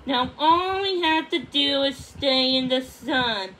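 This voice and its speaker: An excitable male puffin acted out by a woman reader from a children's storybook. He is bossy and urgent, speaking in a high, insistent voice. There is some background noise. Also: slow, low-quality recording. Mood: confident. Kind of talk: children's storybook read-aloud.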